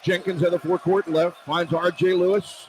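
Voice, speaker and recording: A man's voice: high-pitched, flat delivery, moderate pace, a little mellow. A man calling live basketball play-by-play on the radio in clipped, running descriptions of the action. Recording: noisy live arena broadcast. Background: arena crowd ambience.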